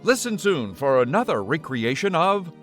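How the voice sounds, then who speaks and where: A man's voice: on the high side, very animated, moderate pace, fairly bright tone, faint music behind. A man announcing in a dramatic, portentous old-time radio style. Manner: theatrical, portentous.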